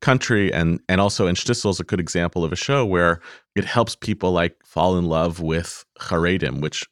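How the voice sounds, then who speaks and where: A man's voice: deep voice, normal speed, fairly bright tone, no echo, studio quality. A man hosting an interview, asking long thoughtful questions with frequent laughs and small stumbles. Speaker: interview host. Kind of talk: conversational interview.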